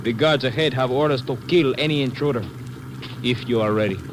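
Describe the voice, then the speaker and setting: An actor playing an officious, suspicious Latin American guard captain with a Spanish accent in a 1950s radio drama, speaking formally and commandingly. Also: male voice, mid-range voice, a little fast, lightly acted. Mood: formal, firm. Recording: old noisy 1950s radio broadcast.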